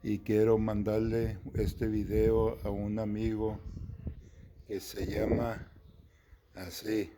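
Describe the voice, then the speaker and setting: A Texas-born man in his fifties, casually explaining and demonstrating slingshot shooting to the camera outdoors, in a plain way with pauses and restarts. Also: lowish voice, level tone, background noise. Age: 50s.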